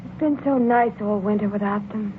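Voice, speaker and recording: An actress playing a jealous, anxious wife, plaintive and emotional, in 1940s radio drama style on a noisy, muffled old recording. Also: female voice, mid-range voice, moderate pace, natural voice. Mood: wistful, uneasy. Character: jealous, anxious young-hearted wife, fretful and pleading.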